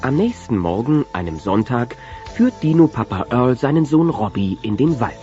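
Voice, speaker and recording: Animated male audio-play narrator. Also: medium pitch, normal speed. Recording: dull cassette transfer, music behind.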